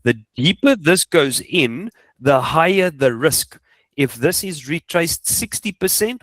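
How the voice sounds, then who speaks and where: A man's voice: medium pitch, no echo. South African Afrikaans man teaching, dry sound. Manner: emphatic, repeats points, asks rhetorical questions. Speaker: trading coach. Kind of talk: informal instructional screen walkthrough.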